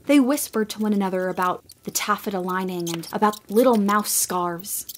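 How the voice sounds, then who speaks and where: A woman narrating a storybook audio drama in a gentle, measured way. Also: medium pitch, a little hiss or hum.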